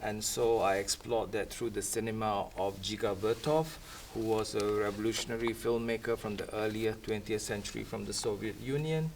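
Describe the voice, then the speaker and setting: A man's voice: fairly low pitch, steady tone, moderate pace, hardly any pauses, a little hiss or hum. A man, speaking in a thoughtful, rambling way on a panel. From Singapore. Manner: thoughtful, rambling, with soft laughs. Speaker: academic panelist. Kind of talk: reflective panel talk. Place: event room. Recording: voice from a distant mic.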